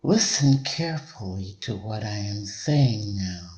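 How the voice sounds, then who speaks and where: A man delivering a hypnotic induction in a measured, deliberate way, with long pauses between short phrases. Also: lowish voice, lively intonation, darkish tone.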